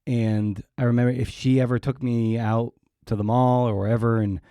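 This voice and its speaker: Middle-aged guy podcast host, really soft mellow tone, kinda halting, close dry mic. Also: mid-range voice, little pitch movement, smooth, dry recording. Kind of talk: casual interview chat.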